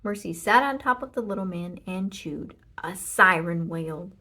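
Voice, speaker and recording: A woman narrating a children's storybook in an expressive read-aloud style. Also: lowish voice, normal speed. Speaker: storybook reader.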